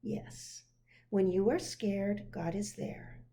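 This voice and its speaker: A woman voicing a gentle, patient parent answering a child in a measured, reassuring way, from a picture book. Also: mid-range voice, pitched below the performer's own voice, lightly acted.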